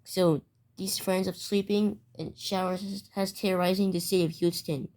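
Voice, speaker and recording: A woman's voice: mid-range voice, fairly even intonation, moderate pace, a little mellow, rough recording. A woman delivering an amateur mock emergency broadcast as a news anchor, stumbling and repeating phrases as she goes.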